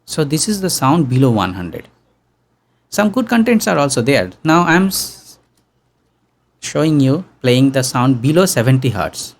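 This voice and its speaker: A young man teaching sound engineering in an online workshop, talking casually and humming low tones to demonstrate, close to a studio mic in a small room. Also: sing-song, unhurried. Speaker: audio engineering instructor. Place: small room with green backdrop. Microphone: studio condenser mic on boom arm.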